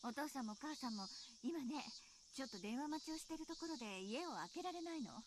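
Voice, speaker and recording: A woman playing a busy middle-aged mother in a Japanese visual novel, brisk, firm and matter-of-fact. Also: sits high, lively intonation, noisy background. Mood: brisk request.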